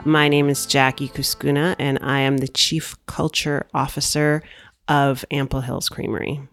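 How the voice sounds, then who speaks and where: A woman's voice: rumbling low, level tone, normal speed, faint music behind. A woman speaks as an interview guest in a small office. She tells her story casually and conversationally, as a business owner looking back.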